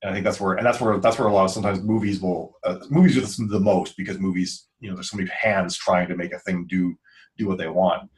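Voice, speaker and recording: A man with a deep voice leads a casual podcast chat at a quick clip. He rambles along in a jokey, informal manner. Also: fairly even intonation. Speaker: podcast host.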